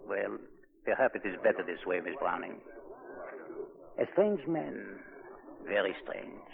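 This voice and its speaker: An actor playing a courteous, wistful hotel proprietor, measured and polite, in a 1940s radio drama. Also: male voice, low voice, sing-song, gravelly, crowd noise, exaggerated voice. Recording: tinny 1940s radio recording, background murmur.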